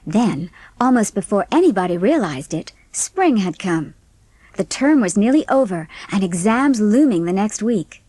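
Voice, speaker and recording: A woman's voice: medium pitch, big pitch swings, normal speed, mellow, slightly rough, no echo. A woman reading a classic novel as an audiobook narrator, in scripted storytelling style.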